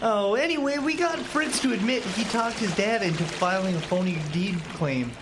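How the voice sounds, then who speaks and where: A man's voice: high voice, expressive, normal speed. A man playing a determined, level-headed young husband in a radio play. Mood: easygoing. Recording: clear water noise behind the voice.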